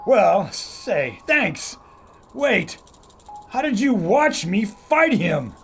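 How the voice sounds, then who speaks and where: An actor playing a brash, suspicious American fighter pilot in an old-time radio adventure style. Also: male voice, sits high, very animated, very slow, soft background music, exaggerated voice. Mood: wary, flustered.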